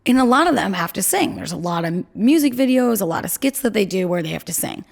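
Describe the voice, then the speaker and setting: Chatty young woman with a gravelly, lively voice. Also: fast. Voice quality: low voice. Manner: chatty, self-deprecating, lots of "like" and "I mean". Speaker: podcast co-host. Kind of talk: casual chat. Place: home.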